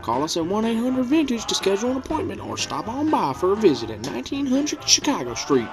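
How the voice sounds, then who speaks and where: Female ad announcer, animated intonation, scripted commercial read, music bed. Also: medium pitch, fast. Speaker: advertisement announcer. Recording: music behind the voice.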